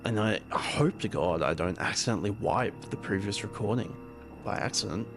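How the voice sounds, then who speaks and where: A man's voice: fairly low pitch, lively intonation, moderate pace, music in the background. A man playing a haunted, paranoid conspiracy theorist, rambling uneasily and confidingly in an audio drama taped diary. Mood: searching, worried.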